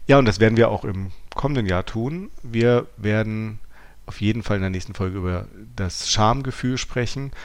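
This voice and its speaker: A man's voice: on the low side, moderate pace. A man co-hosting an intellectual podcast, reflective and searching as he rephrases his thoughts. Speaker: podcast co-host.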